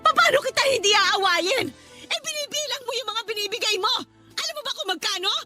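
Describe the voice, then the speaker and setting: A woman's voice: very high-pitched, expressive, fairly quick. A woman acting an embittered, nagging mother of a poor household in a radio drama, scolding and accusing. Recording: music behind the voice. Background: music.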